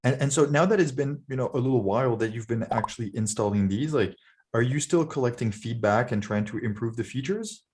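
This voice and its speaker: A man's voice: medium pitch, brisk, a little mellow. A man hosting a podcast, asking casual, conversational interview questions. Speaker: podcast host.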